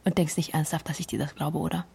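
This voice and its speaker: A woman's voice: deep voice, fairly even intonation, quick pace, creaky, warm tone, slightly rough, full character voice. An actress playing a hurt, bitter wife who confronts her partner in a naturalistic radio play, controlled but accusing.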